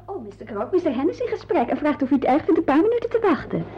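Officious, formal receptionist for radio play; very high, lively pitch, dull old recording. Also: female voice, fast talker. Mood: polite, placating. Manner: formal, questioning, echoes the caller's words. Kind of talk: radio drama acting.

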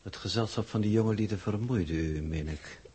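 An actor playing an older, unsentimental coordinator with a husky voice and stiff formal manner, in a 1960s radio drama. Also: male voice, lowish voice, warm tone. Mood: dry, detached. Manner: stiff, formal, measured wording.

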